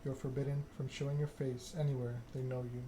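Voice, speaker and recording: Menacing male vampire enforcer read by a man: formal, cold, flat delivery, mid pitch, noisy recording. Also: natural voice. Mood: cold, measured. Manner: measured monotone recitation, veiled threats. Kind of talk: video game dialogue read aloud.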